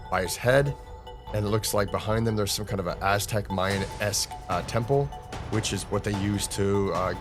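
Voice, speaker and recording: A man doing casual, excited play-by-play narration of comic panels, informal and dramatic, as a read-along host. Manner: informal, dramatic, with interjected booms. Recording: music under the voice. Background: music.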